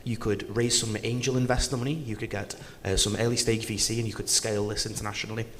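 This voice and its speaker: A man speaking on a conference panel with a softened Liverpool accent. His voice sits a little low, and his delivery is candid and anecdotal with a wry edge. Faint background hum runs behind him. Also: little pitch movement, fast, big-room sound. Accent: Liverpool, toned down. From northwest England.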